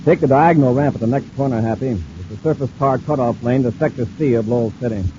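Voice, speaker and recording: An actor playing a confident, commanding space patrol commander in a brisk, authoritative 1950s radio serial style. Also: male voice, mid-range voice, expressive, lightly acted. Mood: brisk, assured. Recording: dull, hissy old radio recording.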